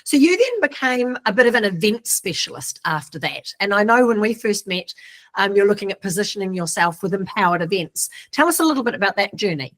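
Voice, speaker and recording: A woman's voice: mid-range voice, expressive, brisk, fairly bright tone. A woman with an Australian accent hosting a podcast, encouraging her guest and summing up what she says. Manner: encouraging, affirming, sums up the guest's points. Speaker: podcast host. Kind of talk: interviewing in casual conversation.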